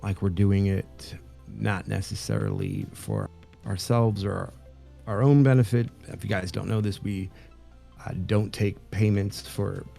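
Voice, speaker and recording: Gravelly, dark-voiced man. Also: on the low side, expressive, soft background music. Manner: halting, many fillers and restarts. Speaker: streamer co-host. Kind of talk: casual live-stream interview.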